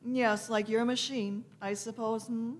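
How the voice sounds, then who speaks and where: A woman playing a shrewd, teasing time-traveling doctor in a radio play, deliberate and knowing. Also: on the high side, steady tone, a little hiss or hum, natural voice. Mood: wry, knowing. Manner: deliberate, knowing, punctuated with hmms. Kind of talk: live radio-play acting.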